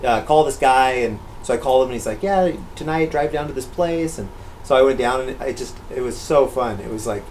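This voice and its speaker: A man's voice: mid-range voice, fairly quick, a little mellow. A middle-aged American man hosts a casual vlog in a mid-range voice. There is light noise behind him as he talks in an easy, conversational storytelling style. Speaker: vlog host. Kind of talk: casual vlog narration and chat.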